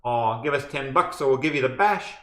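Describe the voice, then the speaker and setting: A man's voice: mid-range voice, brisk, fairly bright tone, reverberant, lightly acted. A man voicing a big, blunt male street mugger with a New Zealand accent and casual slangy menace. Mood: demanding.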